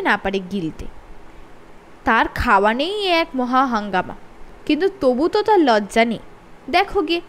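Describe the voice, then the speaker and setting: A woman with a Bengali accent reading a children's story aloud, shifting her voice for each character. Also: high voice.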